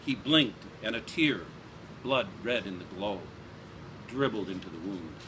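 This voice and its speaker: A man reading literary fiction aloud at a live event, with deliberate, theatrical emphasis and phrases that fall away at the end. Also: on the low side, expressive, background noise. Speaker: author reading aloud from a novel. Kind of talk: dramatic literary reading of scripted narration.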